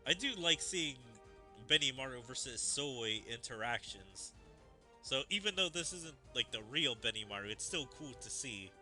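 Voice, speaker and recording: A man's voice: medium pitch, expressive, normal speed, sharp tone. A man casually commenting while playing a game, musing aloud with many fillers. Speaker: streamer. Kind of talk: gameplay commentary. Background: game music.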